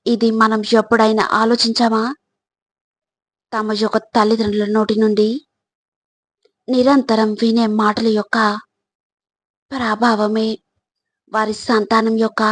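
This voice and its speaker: A woman reading scripted motivational narration in measured, deliberate short phrases. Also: a little high, no echo.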